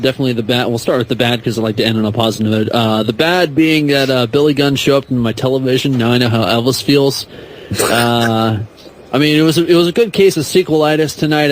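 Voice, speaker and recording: A man chatting casually as a podcast guest, joking and quick to laugh. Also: medium pitch, poor audio. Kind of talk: casual chat. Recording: faint background noise.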